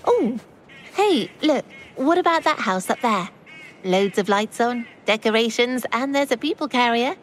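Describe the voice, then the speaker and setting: An eager, chatty villain's minion acted by a woman in a horror-comedy audio skit. The voice sits fairly high, with faint ambient noise behind it. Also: animated, a little slow. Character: eager, chatty female minion scouting houses.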